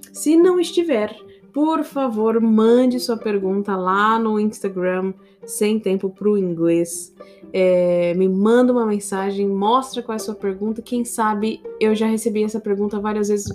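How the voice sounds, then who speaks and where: A woman English teacher with a Brazilian Portuguese accent, hosting a casual solo podcast in a chatty, animated way and often speaking straight to her listeners. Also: mid-range voice.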